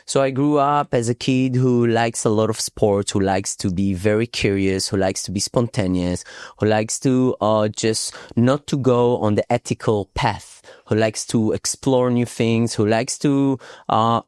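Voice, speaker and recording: A man speaks in a low, smooth, resonant voice. He talks slowly and reflectively, often pausing with fillers as he searches for words. The sound is dry and close, with no room around it. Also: a little mellow, no room sound. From Israel. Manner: slow, thoughtful, hesitant with many pauses and fillers. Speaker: podcast guest, psychodrama facilitator. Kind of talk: casual interview conversation. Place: Thailand island.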